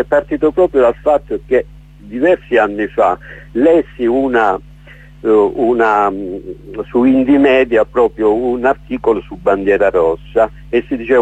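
A man's voice: lowish voice, a little mellow, slightly rough. A middle-aged man from Calabria, an author speaking as a radio interview guest, hesitant with many fillers and restarts. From Calabria, southern Italy. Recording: muffled lo-fi radio broadcast.

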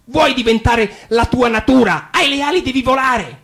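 A man's voice: very high-pitched, moderate pace, vocal fry, fairly bright tone, full character voice. A man voicing a jealous, scornful older male blackbird, lecturing a young bird in a radio fairy tale. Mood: preachy scorn. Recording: guitar music underneath.

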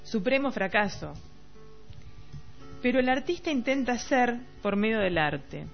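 Creaky-voiced Argentine woman hosting lo-fi radio. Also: a little high, expressive. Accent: Rioplatense Argentine. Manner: halting, searching for words, repeats phrases. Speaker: radio host. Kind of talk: casual literary radio chat. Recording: dull lo-fi radio, faint music behind.

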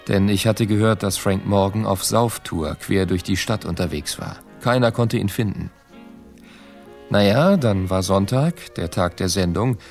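A man's voice: on the low side, vocal fry, a little mellow. A man voicing a veteran radio director who recounts an anecdote conversationally in a documentary. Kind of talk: voice-over of recollections in radio documentary.

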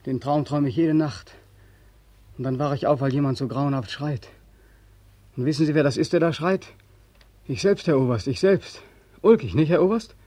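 Brief: male actor as haunted war returnee, 1940s radio drama, muted raspy tone, pleading, obsessive. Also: on the high side, moderate pace, pauses often, warm tone, no echo, close to an everyday voice. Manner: weary, pleading, obsessive, repeating himself. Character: weary, haunted ex-soldier back from war, insistent.

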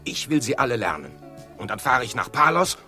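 An actor playing a brash, naive sailor with a gravelly voice in a satirical radio play. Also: male voice, mid-range voice, sing-song, fairly quick, sharp tone, faint music behind. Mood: eager.

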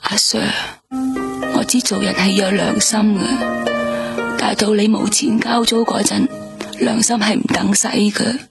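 An actress playing a weary young nightclub hostess in a radio drama, speaking Hong Kong Cantonese, tired and defensive, sometimes dropping to a whisper. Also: female voice, medium pitch, flat delivery, normal speed, vocal fry, over loud music, lightly acted. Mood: weary, bitter.

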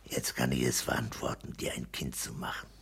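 An actor playing a smug, domineering petty official and landlord in a 1960s German radio play, matter-of-fact and pleased with himself. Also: male voice, rumbling low, little pitch movement, a little fast, gravelly, a bit bright, exaggerated voice. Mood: self-satisfied. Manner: matter-of-fact, self-satisfied.

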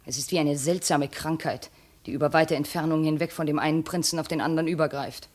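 Low-voiced, bitter jilted princess. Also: female voice, steady tone, fast talker, a little mellow. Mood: scornful. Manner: reproachful, cutting, formal stage diction. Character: proud, bitter, jilted former princess turned slave. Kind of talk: 1950s German radio drama acting.

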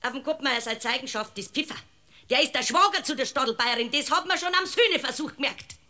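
An actress playing an indignant, gossipy parish housekeeper with a rural Bavarian accent in a radio drama, garrulous and self-righteous. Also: female voice, high voice, lively intonation, fast, sharp tone. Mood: grumbling. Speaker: actor. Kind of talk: German radio drama acting.

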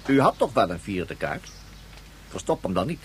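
An actor playing a pompous ceremonial game master in a radio play, with formal, theatrical proclamations. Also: male voice, on the low side, very animated, normal speed, a little hiss or hum. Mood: stern, impatient. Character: pompous ceremonial game master addressing a ruling card champion.

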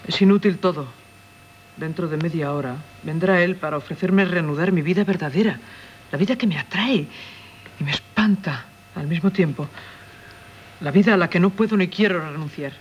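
An actress with a Castilian accent playing an anguished, restless wife in impassioned 1970s radio theatre style. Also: female voice, on the low side, normal speed, slight background noise, natural voice. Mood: anguished, fearful. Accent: Castilian Spanish. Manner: impassioned, dramatic. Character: anguished, restless wife who feels a stranger at home. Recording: 1970s radio broadcast, music behind.

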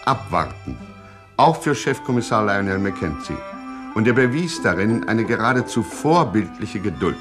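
A man's voice: lowish voice, very animated, moderate pace, music in the background. A man narrating a satirical gangster radio play in a wry, scripted storyteller's tone.

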